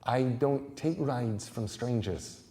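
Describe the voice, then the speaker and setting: Man voicing a guarded teenage girl, mellow. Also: medium pitch, slow pace, natural voice. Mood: wary. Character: wary, self-conscious teenage girl raised in Scotland. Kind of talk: audiobook character dialogue.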